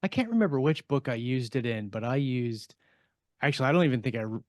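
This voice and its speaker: A man talking casually on a panel as an author, halting and repeating words as he thinks aloud. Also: medium pitch, animated, darkish tone, no room sound, clean studio sound. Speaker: science fiction author on a panel podcast.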